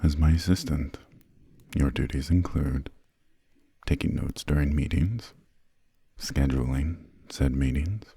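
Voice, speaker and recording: Man playing a slow, cavernously deep vampire lord. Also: warm tone, full character voice. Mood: condescending, wry. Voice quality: very deep, breathy, gravelly. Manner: slow, measured, formal, haughty, drawn-out pauses. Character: imperious centuries-old male vampire lord, courteous but condescending. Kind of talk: ASMR roleplay, soft close speech. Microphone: close, dry studio microphone.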